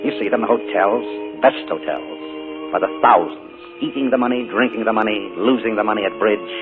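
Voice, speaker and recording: An actor playing a charming but sinister middle-aged uncle, a killer, in a 1940s radio drama. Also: male voice, lowish voice, fairly quick, natural voice. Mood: contemptuous. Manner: smooth, then cold and contemptuous. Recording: tinny 1940s radio broadcast with music.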